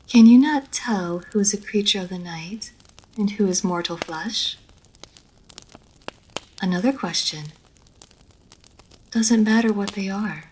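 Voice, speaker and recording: A woman playing an immortal vampire lady of a manor in an audio roleplay, formal and measured, poised and teasing, speaking straight to the listener. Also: mid-range voice, husky, poor audio. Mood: composed, probing. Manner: formal, measured, old-fashioned genteel phrasing. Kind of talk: scripted roleplay audio story, addressed to the listener.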